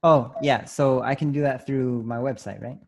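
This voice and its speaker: A young man chatting casually on a video call from his living room, relaxed and a bit hesitant with lots of fillers. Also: medium pitch, normal speed, vocal fry, a little hiss or hum. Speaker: new business recruit. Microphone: desktop studio mic on video call.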